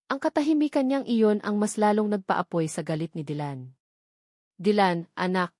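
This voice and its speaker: A woman narrator reading a scripted romantic drama in a measured, melodramatic storytelling manner. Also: medium pitch, sing-song, warm tone, dry recording, studio quality.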